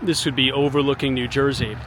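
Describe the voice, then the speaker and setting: Hoarse man giving a street walking tour. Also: mid-range voice, brisk. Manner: relaxed, chatty tour guide. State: hoarse, recovering from illness. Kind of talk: casual walking tour narration. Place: outdoors on city streets and in a park. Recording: outdoor street noise behind voice.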